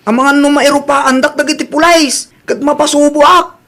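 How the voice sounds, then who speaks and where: High-voiced evasive buddy acted by a man. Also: fairly even intonation, brisk, gravelly, bright, forceful tone, heavily acted. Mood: pleading, evasive. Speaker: drama actor. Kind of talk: Ilocano audio drama acting.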